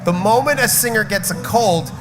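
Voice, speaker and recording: A man's voice: high-pitched, moderate pace. Outspoken rock singer man with a cold. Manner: outspoken, emphatic, swears. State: slight cough and cold. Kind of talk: casual festival chat. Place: festival backstage area. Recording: loud music behind.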